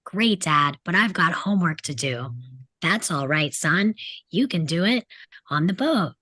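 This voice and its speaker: A woman's voice: on the low side, expressive, unhurried, bright, forceful tone, no echo, heavily acted. A woman playing a bitter, sarcastic teenage delinquent boy who mocks his family in a script table read. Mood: mock cheerful. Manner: sarcastic, aggressive, mimics parents in mock voices.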